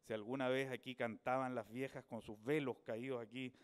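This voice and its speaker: A middle-aged man from Chile with a Chilean accent, an author giving a reflective public talk at a book fair, thoughtful and unhurried as he searches for his words. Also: mid-range voice, fairly even intonation.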